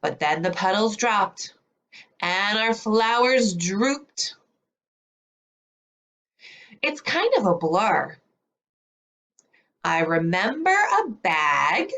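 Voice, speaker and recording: A woman's voice: medium pitch, very animated, fairly bright tone, heavily acted. Woman as storybook bad seed: brazen, confessional, theatrical, with swooping intonation. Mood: dramatic recounting. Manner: dramatic, confessional storybook delivery with drawn-out words. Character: self-declared bad little seed, male, brazen storybook narrator.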